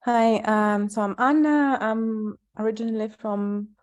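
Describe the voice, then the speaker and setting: Brief: woman, slow deliberate pace, lots of ums, video call. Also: a little high, darkish tone. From Russia. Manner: slow, searching with many fillers. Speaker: seminar participant.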